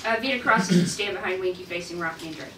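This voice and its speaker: A woman's voice: on the low side, fast. A woman reading out screenplay stage directions as narrator at a table read. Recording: echoey room, distant mic, clear noise.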